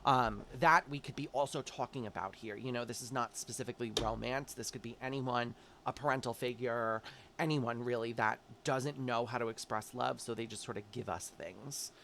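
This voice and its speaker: A man's voice: medium pitch, fairly even intonation, moderate pace, creaky, slight background noise. A man giving a chatty tarot forecast, stuffy and congested from allergies, talking straight to his viewers. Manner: chatty, warm-hearted, talks straight to viewers. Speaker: tarot reader. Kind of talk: casual monthly tarot forecast.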